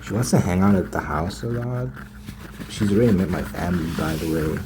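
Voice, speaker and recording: A man doing a casual ASMR roleplay monologue, telling his story in a hesitant, repetitive, slangy way as a smitten, naive boyfriend. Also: a little fast. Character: smitten, naive young man gushing about his new girlfriend. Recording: lo-fi ASMR with loud rumbling noise.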